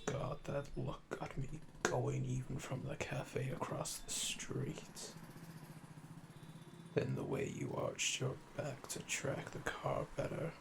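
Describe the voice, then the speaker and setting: A man voicing a calm, smug, flirtatious assassin in a slow, intimate ASMR roleplay, deliberate and condescending, often dropping to a murmur. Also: mid-range voice, creaky, noisy background, full character voice. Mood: lustful.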